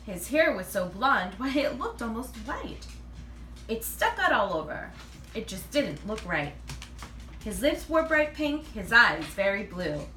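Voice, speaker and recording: A woman reads a children's picture book aloud in an expressive, animated way, acting out the dialogue. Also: mid-range voice. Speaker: read-aloud reader. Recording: roomy, distant mic, faint noise.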